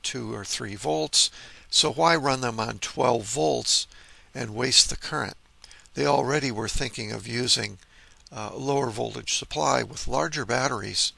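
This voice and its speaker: A man giving an informal technical demonstration of vintage radio gear, explaining and pausing to point things out. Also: medium pitch, creaky, bright, forceful tone, slightly rough, no room sound. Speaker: host, electronics hobbyist.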